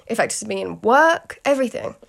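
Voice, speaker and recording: A woman's voice: on the high side, very animated, brisk, creaky. A British woman in her late teens talks candidly in a casual solo podcast, rambling with lots of fillers and asides to the listener. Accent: British English. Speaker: podcast host.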